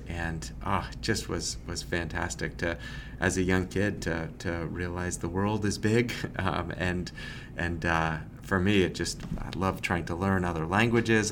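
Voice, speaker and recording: A man from Massachusetts, a nonprofit executive, answering interview questions in an earnest, measured way. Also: low voice, gravelly, fairly bright tone, slight background noise. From Massachusetts, USA.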